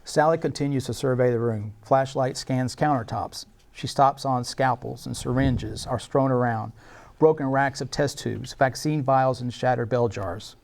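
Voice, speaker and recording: A man's voice: mid-range voice, fairly even intonation, moderate pace, gravelly, darkish tone. A man reading scene descriptions and stage directions as the narrator of a screenplay table read.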